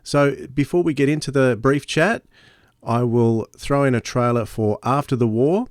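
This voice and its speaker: A man's voice: mid-range voice, normal speed, dry recording, studio quality. A man hosting a podcast, speaking in an informal introduction. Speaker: podcast host.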